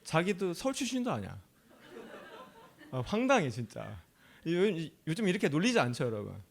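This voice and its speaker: A man preaching a sermon in a chatty, animated way. His voice sits fairly high for a man and swings widely up and down. He keeps asking the audience questions and laughs easily. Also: moderate pace, creaky. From Chungcheong, South Korea. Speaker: pastor.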